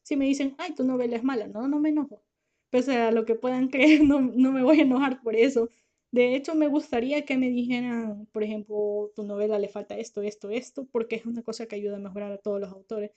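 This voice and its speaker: A woman's voice: on the high side, steady tone, brisk, warm tone, lo-fi sound. A woman podcast host, a lawyer and author, talking casually and earnestly to her listeners; she is tired, finds it hard to talk and can't pronounce her R well. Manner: earnest, reassuring, speaks directly to listeners.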